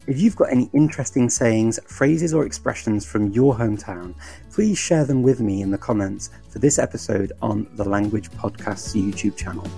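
A man hosting a podcast, asking questions in a measured way and reading sponsor messages. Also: mid-range voice, gravelly, dark tone, slightly rough. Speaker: podcast host.

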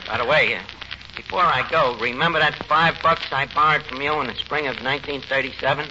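An actor playing a melodramatic, self-important, uneducated tavern manager with a New York accent, mangling lofty phrases in 1940s radio comedy style. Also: male voice, mid-range voice, brisk, natural voice. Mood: sheepish farewell. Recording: noisy, muffled 1940s radio recording.

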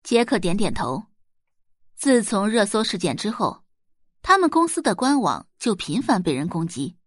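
A woman's voice: medium pitch, very animated, normal speed, no room sound, clean studio sound. A woman narrating a scripted audio drama as a storyteller.

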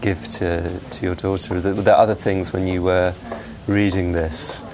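A man hosting, who asks curious questions slowly, repeating words as he goes. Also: sits low, flat delivery. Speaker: host. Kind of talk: interview questions. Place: bookshop, indoors. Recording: noisy public bookshop.

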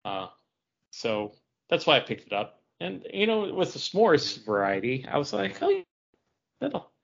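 A man's voice: on the high side, very animated, measured pace, vocal fry. A man hosting a podcast in a casual, chatty style. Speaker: podcast host. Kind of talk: casual chat. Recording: dull lo-fi audio.

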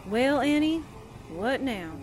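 Woman playing a high-pitched, wisecracking sidekick. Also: animated, takes it slowly, a little hiss or hum. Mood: perky, skeptical. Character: wisecracking female newspaper sidekick, perky, cheeky. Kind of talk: 1940s radio drama acting.

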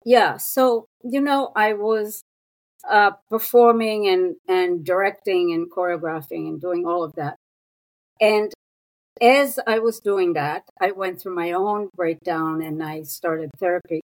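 Israeli-raised woman in her 60s, therapist guest, low voice, very slow and reflective delivery. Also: poor audio. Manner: unhurried, thoughtful, with pauses and fillers. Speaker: guest, psychotherapist. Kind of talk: reflective interview talk.

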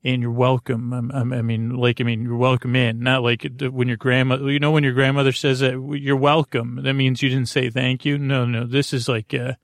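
A man hosting a bedtime podcast, rambling through a lulling, soothing monologue full of hesitations and tangents, with a creaky voice. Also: mid-range voice, level tone, moderate pace, hardly any pauses, no room sound, studio quality. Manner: meandering, hesitant, full of tangents and trailing repetitions. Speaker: podcast host.

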